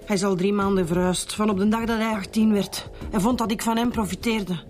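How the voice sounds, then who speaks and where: A woman's voice: medium pitch, steady tone, fairly quick, darkish tone, soft background music, natural voice. A woman playing a callous, hard-drinking middle-aged mother in a radio drama, blunt and grumbling with a Flemish accent. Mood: self-pitying, bitter. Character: brash, callous middle-aged mother who drinks, sarcastic and self-pitying.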